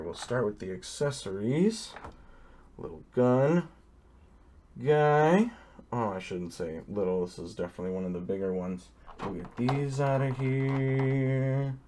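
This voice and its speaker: A man's voice: medium pitch, dark tone, a little hiss or hum. A man casually talking through a toy unboxing, rambling easily with many ums and uhs.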